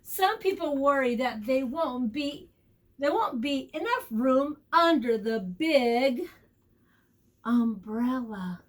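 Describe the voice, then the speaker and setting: Slow, high-pitched woman teaching young children. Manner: expressive, encouraging, asks young listeners questions and pauses for answers; stretches out key words for young children. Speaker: preschool teacher reading a picture book aloud. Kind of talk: children's storytime and vocabulary lesson.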